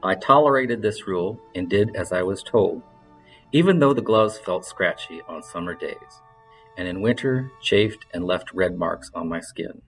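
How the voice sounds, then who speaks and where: A man reading literary fiction aloud in a measured, expressive storytelling style, like an audiobook narrator. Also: low-pitched, faint music behind, poor audio.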